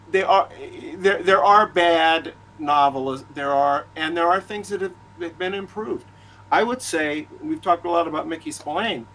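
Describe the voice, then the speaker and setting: A man with a voice a little higher than most talks as a guest in a relaxed discussion. He moves haltingly through his points, doubling back on words as he gathers his thoughts. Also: poor audio. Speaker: author guest. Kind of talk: casual discussion of film and writing.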